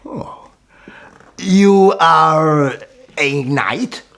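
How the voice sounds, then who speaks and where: An actor playing a shrewd, imperious medieval sultan in a historical radio drama, measured and questioning. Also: male voice, on the high side, a bit bright, full character voice. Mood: probing, courteous.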